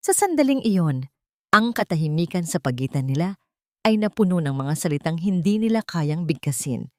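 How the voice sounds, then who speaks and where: Female narrator, Filipino accent, lowish pitch. Measured, expressive dramatic storytelling, recorded dry. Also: dry recording. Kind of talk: scripted dramatic romance narration for an audio drama.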